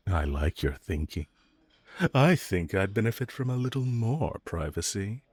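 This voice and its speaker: A man acts out a flirtatious male villain in a romantic audio roleplay, very low and husky, recorded close and dry. He teases and provokes his hero rival, taking his time with slow, drawn-out lines that swoop playfully. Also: dry recording, full character voice. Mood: playful, bantering. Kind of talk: romantic audio roleplay acting addressed to listener.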